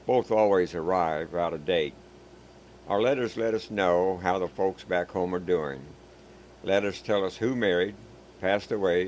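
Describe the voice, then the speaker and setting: A man reading a first-person war story in a calm, measured, plain-spoken way. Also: deep voice, slight background noise. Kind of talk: scripted first-person narration. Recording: background music.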